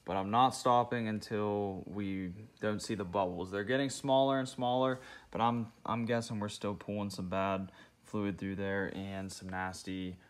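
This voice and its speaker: Young male DIY host, low-pitched, easygoing step-by-step garage narration. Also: a little slow. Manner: relaxed, thinking aloud, talking through each step. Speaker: car DIY channel host. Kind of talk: casual how-to narration while working. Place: home garage, concrete floor.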